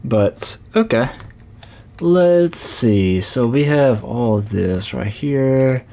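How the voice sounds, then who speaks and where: A man live-streaming a Minecraft session, chatting casually in a scratchy, slightly hoarse voice while he plays. He rambles, corrects himself and leans on fillers. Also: medium pitch, animated, extremely slow, dark tone. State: hoarse from talking a lot.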